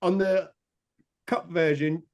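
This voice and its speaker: Excitable rambling man. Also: on the high side, takes it slowly. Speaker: podcast guest. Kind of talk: casual film chat.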